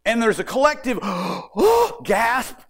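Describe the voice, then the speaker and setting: A man preaching a sermon, moving between folksy, conversational storytelling and Bible teaching, with dramatic pauses. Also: very high-pitched, wildly swooping pitch, husky.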